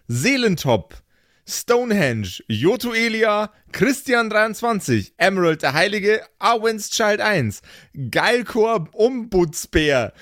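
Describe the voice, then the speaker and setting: A man's voice: high voice, a bit bright, no room sound, studio quality. A man hosting a podcast casually, jokey and playful as he reads out names and addresses listeners. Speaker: podcast host and player of an improvised tabletop role-playing show. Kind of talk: casual podcast outro, reading out supporter names.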